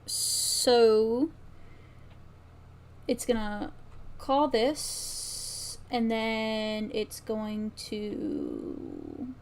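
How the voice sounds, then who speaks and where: A woman's voice: a little high, huge pitch swings, very slow, creaky, long pauses, darkish tone. A woman livestreaming as she works, thinking aloud casually and often trailing off mid-thought. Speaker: streamer. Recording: faint background noise, keyboard typing and clicking. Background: computer keyboard typing and mouse clicks.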